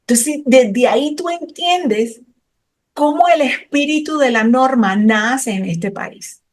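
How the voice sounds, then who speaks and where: A woman's voice: high-pitched, expressive, slow. A middle-aged woman from Colombia, talking passionately and technically about immigration law in an interview, with frequent hesitant fillers. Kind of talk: explanatory interview talk.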